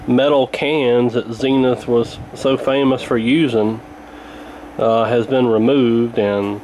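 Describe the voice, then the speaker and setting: A man's voice: mid-range voice, fairly even intonation, slow pace, darkish tone, slight background noise, poor audio. A man giving a casual, hands-on repair walkthrough in a folksy, colloquial way, thinking aloud as he goes. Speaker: radio repair hobbyist presenting.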